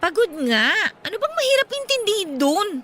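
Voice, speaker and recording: A woman's voice: extremely high pitch, sing-song, brisk, fairly bright tone, a little hiss or hum, full character voice. An actress playing a weary, guilt-ridden young girlfriend, exasperated and apologetic, in a radio drama. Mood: weary, irritated.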